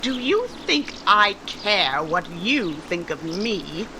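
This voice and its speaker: A woman playing a proud, spirited young daughter in 1940s radio romance style, polite but crisp and pointed. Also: lowish voice, sing-song, slow, noisy background, full character voice. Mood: indignant.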